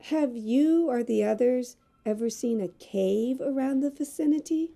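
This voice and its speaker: A very soft, dark-toned, high voice for a determined woman reporter, played by an actress in an audio drama. She is guarded and businesslike, holding her own. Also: unhurried, vocal fry, exaggerated voice. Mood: reserved, uneasy. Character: Hazel Winters, guarded, determined young woman magazine reporter.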